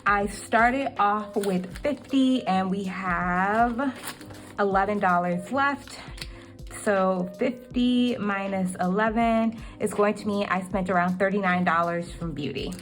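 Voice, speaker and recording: A woman's voice: medium pitch, slow pace, faint music behind. Chatty young woman with a cracking voice. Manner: chatty, with many ums. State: slightly cracking voice. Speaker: budgeting vlogger. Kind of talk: casual budgeting walkthrough.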